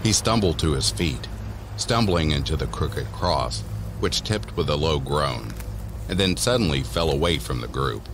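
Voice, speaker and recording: A man giving measured, deliberate narration for a scripted horror audio drama. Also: very deep voice, lively intonation, slight background noise. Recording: voice over background music.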